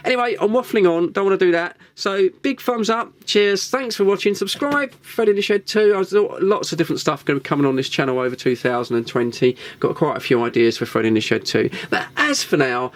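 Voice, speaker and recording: A man's voice: sits high, a little fast, few pauses. An Englishman chatting casually and unscripted to viewers as a video host, rambling and thinking aloud, repeating himself and tagging questions on the end. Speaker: video host and CB radio hobbyist. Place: indoors in a small radio shack.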